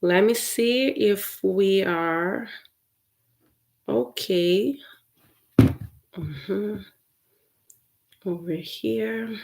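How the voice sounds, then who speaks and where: A woman's voice: mid-range voice, drawn-out words, vocal fry, long pauses, a little mellow, a little hiss or hum. A woman from Brazil whose first language is Portuguese, teaching English in a chatty live stream with many fillers and restarts. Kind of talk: casual livestream lesson.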